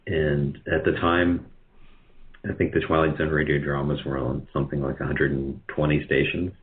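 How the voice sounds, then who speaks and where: Really deep voiced guy chatting as an interview guest, kinda halting and self-deprecating, tinny phone sound. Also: level tone. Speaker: guest, radio drama producer. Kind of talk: casual interview answers.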